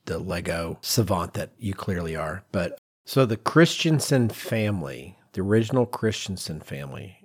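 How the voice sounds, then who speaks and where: A man's voice: low-pitched, animated, normal speed, husky. A man co-hosting a podcast in casual conversation, reacting and raising questions. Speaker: podcast co-host.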